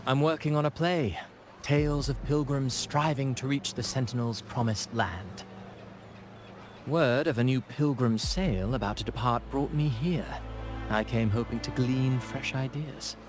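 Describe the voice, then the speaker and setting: A man's voice: mid-range voice, unhurried, soft background music, close to an everyday voice. A man playing a courteous, eloquent playwright in a video game, speaking in ornate, formal, storytelling phrases with a humble air. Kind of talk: video game character dialogue.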